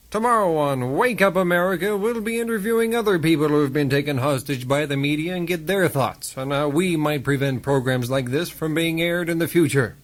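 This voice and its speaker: Casting: actor as earnest male news anchor for radio satire, highish voice, formal and measured, exasperated underneath. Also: natural voice. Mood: solemn sign-off. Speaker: host of a parody news broadcast. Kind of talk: satirical radio theater, broadcast interviewing.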